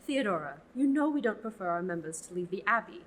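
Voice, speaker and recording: A teenage actor playing an exasperated, theatrical abbess eager to be rid of a pesky oblate. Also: female voice, medium pitch, sing-song, fast, fairly bright tone. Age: teens. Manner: sighing and theatrical. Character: exasperated, fussy middle-aged abbess nun. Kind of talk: student radio play.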